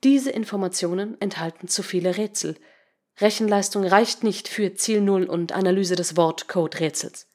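A woman narrator voicing a naive, emotionless artificial intelligence in an audiobook, with a measured, clipped, stilted delivery. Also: medium pitch, a little mellow, lightly acted. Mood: puzzled, measured.

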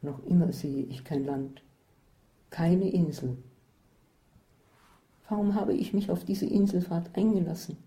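A woman narrating a first-person story in a measured, descriptive way. Her voice is fairly low and very mellow and dark. She reads the text aloud with care. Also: low-quality recording.

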